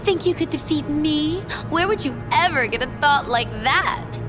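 A woman's voice: high voice, expressive, moderate pace. A woman voicing a cruel, mocking young female villain in a video game cutscene, taunting and condescending, over game music.

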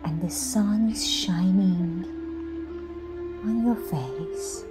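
A woman leading a scripted guided meditation in a soothing manner, giving instructions in short phrases with pauses between them. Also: lowish voice. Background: music.

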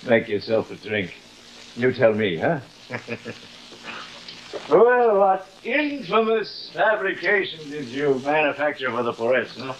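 A man's voice: a little high, very animated, takes it slowly, pauses often. A man playing a middle-aged, guilt-tormented stage actor in a grandiose, theatrical old television drama manner. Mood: distracted, sardonic. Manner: declamatory, dramatic. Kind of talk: theatrical 1950s television acting. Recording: muffled, hissy old television soundtrack.

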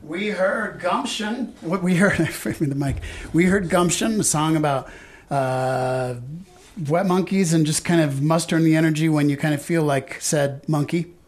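A man's voice: fairly high pitch, moderate pace. A man being interviewed as a band's songwriter, chatting casually and hesitantly with quirky dry humour. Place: live in a radio studio.

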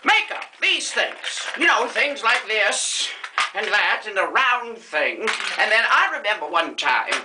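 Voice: mid-range voice, sing-song, takes it slowly, roomy sound, background noise. A performer playing a vain, aging female film star who rambles and drops names in a grand diva manner, in a comedy makeup-tutorial sketch. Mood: campy.

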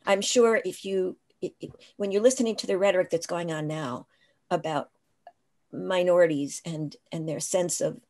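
A woman's voice: lowish voice, normal speed, darkish tone. An older woman and writing professor, talking reflectively in a casual video-call interview from a room at home, with frequent restarts.

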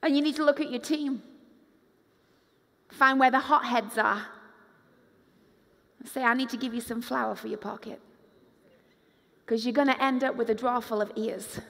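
High-voiced woman preaching on a stage. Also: normal speed, gravelly, long pauses. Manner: animated preacher, acts out quoted voices, moves around the stage. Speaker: pastor. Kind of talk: conference sermon. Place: large dark stage with hall reverb. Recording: long hall reverb.

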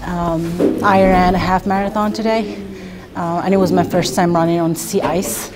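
Upbeat woman from Morocco, lowish voice. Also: normal speed, very noisy. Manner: upbeat, relaxed with fillers. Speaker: race participant. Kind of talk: casual interview. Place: outdoors at a race event. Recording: crowd audible behind.

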